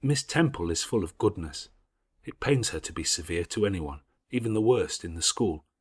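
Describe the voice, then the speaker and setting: A man voicing a patient, devout, bookish older schoolgirl in an audiobook, speaking in a measured, earnest, moralising way. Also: low voice, animated, husky, dry recording, clean studio sound. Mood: grave, self-reproachful. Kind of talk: audiobook character voice.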